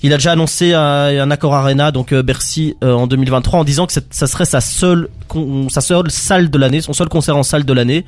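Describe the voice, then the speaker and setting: A man presenting music release news in a casual panel talk, with a halting delivery full of filled pauses. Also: a little high, fairly even intonation.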